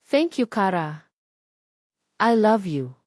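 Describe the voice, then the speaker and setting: A woman's voice: medium pitch, sing-song, slow, a little mellow, dry recording. Woman reading as lovestruck young man, Filipino accent, tender, pleading, affectionate, romance audiobook, dry close sound. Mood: loving. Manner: pleading, affectionate, mixing English and Tagalog.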